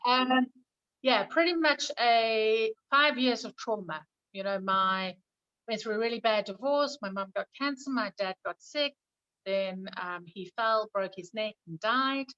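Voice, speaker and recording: A woman's voice: on the high side, unhurried, fairly bright tone, highs cut off. A woman with a South African accent, a guest telling her story openly, with frequent ums, over a video call. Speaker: guest, animal communicator. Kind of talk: interview answers. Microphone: video call from Kenya.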